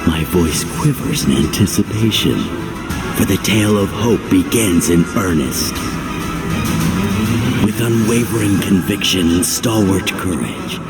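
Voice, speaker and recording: An actor playing a grandiose herald who narrates heroic tales in a measured, theatrical manner for a game. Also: male voice, sits low, lively intonation. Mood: stirred, grand. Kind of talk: dramatic scripted narration. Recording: loud music behind.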